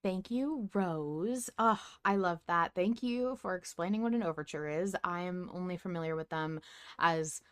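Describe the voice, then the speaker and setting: A woman's voice: lowish voice, moderate pace, fairly bright tone. A woman hosting a podcast in casual, enthusiastic discussion. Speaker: podcast host.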